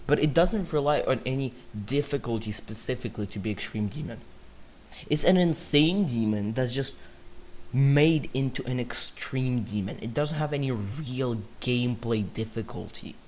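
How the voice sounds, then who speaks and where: A young man talks loosely to his chat while gaming, rambling and often correcting himself mid-sentence. His voice sits in a middle pitch, and faint keyboard clicks tap along in the background of his home desk mic. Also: slight background noise. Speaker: streamer. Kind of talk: casual gaming livestream chat.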